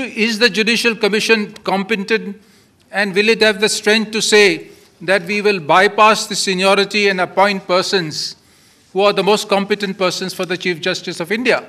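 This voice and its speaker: An older man in his seventies or beyond addresses a legal convention from a podium. His voice is high for a man, with a sharp, bright edge. He argues formally and deliberately, pressing his points with rhetorical questions. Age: 70s or older. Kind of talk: formal conference speech, argumentative. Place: large auditorium stage, indoors. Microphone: podium gooseneck microphones.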